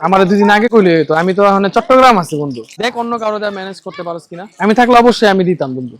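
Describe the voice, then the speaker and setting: A man's voice: sits high, expressive, rapid-fire. A man with a Bangladeshi Bengali accent, acting an apologetic, friendly pal on a phone call in an amateur short drama, talking colloquially. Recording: background music over dialogue.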